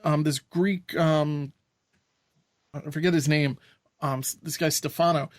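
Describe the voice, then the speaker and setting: A man's voice: a little high, steady tone, a little slow, dry recording, clean studio sound. A man co-hosting a podcast, chatting casually in a blunt, opinionated way. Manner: blunt, opinionated, plain-spoken. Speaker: podcast co-host. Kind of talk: casual chat.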